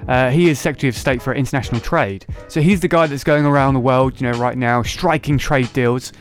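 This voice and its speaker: Guy on a politics podcast, snarky, quick jokes, bit of music in the back. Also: medium pitch. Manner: sarcastic, mocking voices of others. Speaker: podcast host. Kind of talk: casual political chat.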